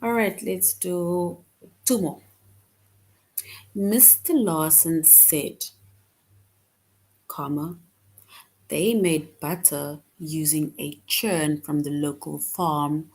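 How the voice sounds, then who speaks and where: A woman with a low voice teaches a children's lesson on punctuation. She speaks slowly and patiently, reading each answer option aloud before picking the right one. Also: darkish tone, rough recording. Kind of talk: online grammar lesson for children.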